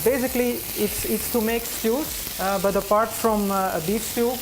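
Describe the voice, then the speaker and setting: A man's voice: high voice, fairly even intonation, moderate pace, noisy background. Hesitant Belgian man, Dutch native. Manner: hesitant, many fillers and restarts. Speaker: guest cook. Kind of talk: casual kitchen chat. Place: kitchen studio with frying.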